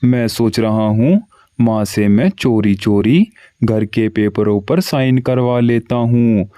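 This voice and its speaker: Cartoon crow son, greedy lazy, low mellow slow voice done by a man, dry mic. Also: smooth, dry recording, close to an everyday voice. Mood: scheming. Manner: whiny pleading, scheming. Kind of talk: cartoon voice acting.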